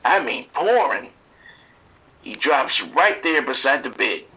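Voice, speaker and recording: An actor playing a streetwise pimp trapped in a motel bathroom, blunt and grudging with the police. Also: male voice, medium pitch, big pitch swings, slow, pushed and bright, breathy edge, lo-fi sound, heavily acted. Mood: shaken. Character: streetwise male pimp hiding from attackers, bitter toward police.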